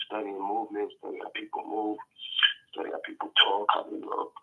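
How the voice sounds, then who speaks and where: A man's voice: fairly low pitch, monotone, a little fast, sharp tone. A man from New York, an actor being interviewed over the phone, talking casually and rambling with many restarts. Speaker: actor guest. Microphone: phone call. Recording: phone line.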